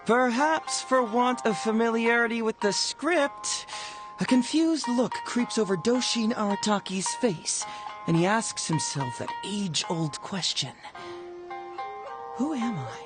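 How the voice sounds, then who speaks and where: Courteous kinda awkward event host in an anime game, animated pitch, music under it. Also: male voice, measured pace. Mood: theatrical narration. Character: polite, slightly awkward male event host and play narrator. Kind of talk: anime video game voice acting. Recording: music playing behind the voice.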